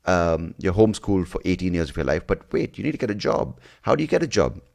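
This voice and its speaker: A male podcast host from India, talking in a halting way with frequent restarts, his voice jumping higher when he stresses a point. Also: sing-song, creaky, darkish tone. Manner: halting, with many restarts and fillers, pitch jumping up when emphatic. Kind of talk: casual podcast conversation.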